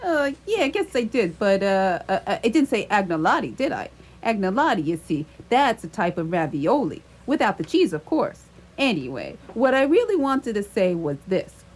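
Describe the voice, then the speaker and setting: A woman's voice: medium pitch, big pitch swings, moderate pace. A woman playing a nervous, evasive man in his mid-thirties who stammers and rambles in a radio theatre comedy. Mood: flustered, defensive.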